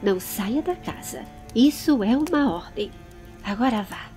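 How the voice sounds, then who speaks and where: A woman acts out a commanding Brazilian lady of a fantasy household, firm and protective. Her voice is fairly high yet mellow, with maternal authority, in an audiobook with music behind. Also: lively intonation, measured pace.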